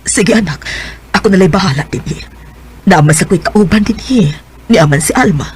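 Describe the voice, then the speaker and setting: An actress playing a devoted, caring middle-aged wife in a radio drama, with a Bisaya Filipino accent. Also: female voice, fairly low pitch, lively intonation, moderate pace, close to an everyday voice. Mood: gently encouraging. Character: devoted, caring wife, anxious for her ailing husband. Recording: noisy radio drama with background ambience.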